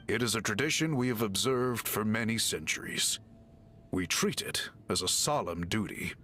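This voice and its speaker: Calm serious tribe chief in a game, formal and patient, voice moves up and down a lot. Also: male voice, lowish voice, slight background noise. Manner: formal, measured, explaining patiently. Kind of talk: game voice acting.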